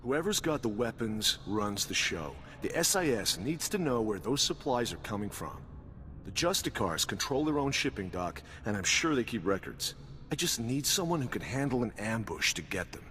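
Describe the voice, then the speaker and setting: A man's voice: on the low side, sing-song, normal speed, a bit bright. An actor playing a wry, suspicious male intelligence agent in a video game, talking in a terse, knowing, dry way. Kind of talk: video game character dialogue. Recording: reverberant hall with background noise.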